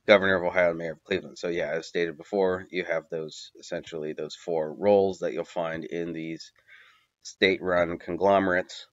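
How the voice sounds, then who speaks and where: A man narrating a video essay in an unscripted, halting way, with many fillers and long spoken lists. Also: low-pitched, fairly even intonation, no echo, muffled recording. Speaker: commentator.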